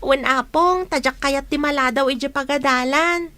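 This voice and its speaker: A woman's voice: high voice, moderate pace, sharp tone. A woman acting a brash, stubborn young woman chasing a man in an amateur audio drama. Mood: dutiful. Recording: clearly noisy home recording with clicks.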